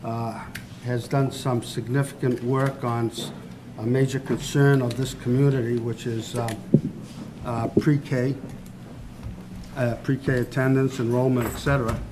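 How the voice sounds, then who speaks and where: Halting man chairing a board meeting. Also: medium pitch, level tone. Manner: halting, many uhs and restarts. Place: school board meeting room. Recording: noisy meeting-room recording.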